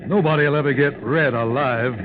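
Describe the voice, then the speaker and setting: A man's voice: mid-range voice, normal speed, close to an everyday voice. Grudging, sullen frontier outlaw, male actor, vintage radio play, narrow band with background hiss. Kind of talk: 1940s radio drama acting. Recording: tinny, noisy 1940s radio recording.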